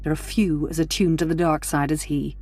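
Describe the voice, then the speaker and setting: Low-voiced, scheming Sith noblewoman. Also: flat delivery, a little fast, darkish tone. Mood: sly, vengeful. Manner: silky, imperious, formal. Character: scheming, power-hungry Sith noblewoman, mistress of a house. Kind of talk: video game voice acting.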